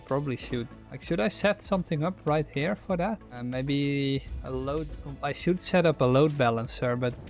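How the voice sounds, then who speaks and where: A man's voice: fairly high pitch, moderate pace, few pauses, soft background music. A young Dutch man with a Dutch accent, thinking aloud and chatting with viewers while playing a factory-building game on stream. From Netherlands. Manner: thinks aloud, restarts words, reads chat questions aloud. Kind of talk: gameplay commentary while reading chat.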